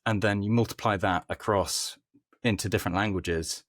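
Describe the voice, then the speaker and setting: A man speaking as a podcast guest, explaining things informally in conversational answers with hesitant pauses. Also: on the low side, fairly even intonation, no room sound, clean studio sound. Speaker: podcast guest, company head of growth.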